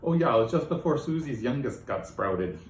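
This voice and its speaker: A man's voice: medium pitch, expressive, brisk, distant mic, a little hiss or hum, close to an everyday voice. Man doing chatty old gossip lady, folksy, rambling, says dearie, roomy sound. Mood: gossipy.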